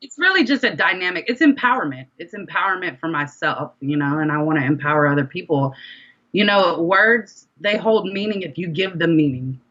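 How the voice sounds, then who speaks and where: Woman musician in a casual interview, slightly low voice, tells stories with quoted dialogue, dull lo-fi sound. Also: fairly quick. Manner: animated storyteller, quotes conversations in dialogue. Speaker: rapper and singer being interviewed.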